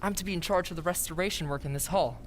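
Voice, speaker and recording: A woman acts a young gentleman from an old-time radio drama. The voice is on the low side, hesitant and deferential. Faint noise sits behind it. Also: quick pace, natural voice. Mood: eager, hesitant. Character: Edward Darrow, nervous, polite young male civic reformer.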